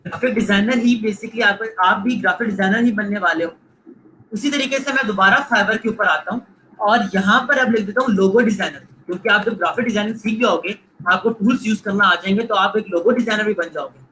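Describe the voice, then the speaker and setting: A man's voice: high-pitched, a little fast, bright, forceful tone, slight background noise, rough recording. A man with a Pakistani Urdu accent giving a live online lecture, coaching students and repeating points while mixing in English phrases. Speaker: online course instructor.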